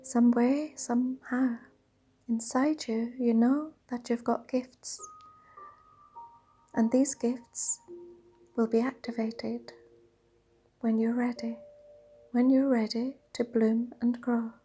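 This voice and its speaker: A woman leading a guided meditation in a dreamy, soothing, half-whispered voice with drawn-out pauses. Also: fairly high pitch, fairly even intonation. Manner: breathy, half-whispered delivery. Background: soft sound bath music.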